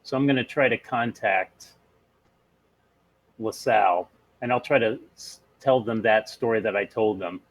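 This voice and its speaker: A man with a fairly low voice talks casually as a tabletop game player. He sounds like he is discussing things informally with the group. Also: a little slow.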